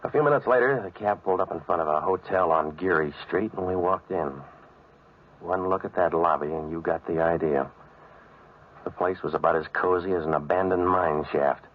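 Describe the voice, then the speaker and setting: An actor playing a cynical, wisecracking American private eye in a 1940s hardboiled radio drama, terse and deadpan. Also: male voice, sits low, normal speed. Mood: wry, weary. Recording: dull vintage radio recording.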